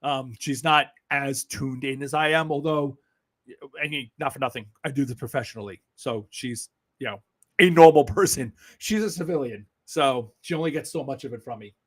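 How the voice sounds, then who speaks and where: A man's voice: medium pitch, moderate pace. A man with a New York accent, hosting a casual livestream, talking bluntly with sarcasm and frequent swearing. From Brooklyn, New York. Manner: blunt, sarcastic, swears freely and laughs often. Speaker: political livestream host. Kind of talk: casual live-stream chat.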